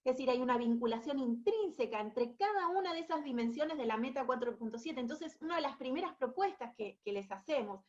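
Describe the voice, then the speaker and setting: A woman's voice: high voice, muffled recording. A woman in her thirties from Argentina with an Argentine accent, giving an explanatory talk as an education specialist, often checking in with her listeners and restarting her phrases. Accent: Argentine Spanish. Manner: explanatory, frequent tag questions and restarts. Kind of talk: presentation talk.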